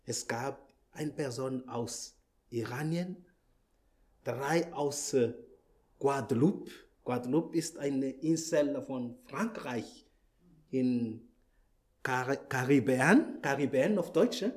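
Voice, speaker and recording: A visiting preacher, a man whose first language is Malagasy, speaks German with a clear French accent. He talks very slowly, pausing and filling gaps with hesitant sounds as he searches for words. His pitch sits at an ordinary middle level. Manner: halting, many fillers and restarts, searches for words. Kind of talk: church greeting and sermon opening. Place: church hall.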